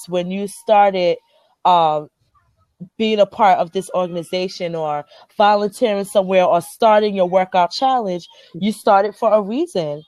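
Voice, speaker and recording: A woman's voice: mid-range voice, a little mellow, no room sound. A woman with a Liberian accent hosting a motivational group chat, speaking earnestly and emphatically, often repeating phrases to drive a point home. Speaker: host.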